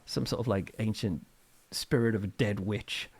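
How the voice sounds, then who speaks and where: A middle-aged British man chatting casually as an interview guest, telling anecdotes in an informal, animated way. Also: on the low side, normal speed, husky. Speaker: interview guest, author and reverend.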